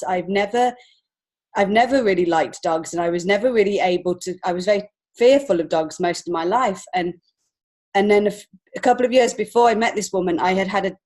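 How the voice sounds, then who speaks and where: A woman's voice: medium pitch, a little fast, darkish tone. A woman with an English accent talking reflectively and earnestly as a guest in a conversational interview. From England. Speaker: podcast guest, children's author and illustrator.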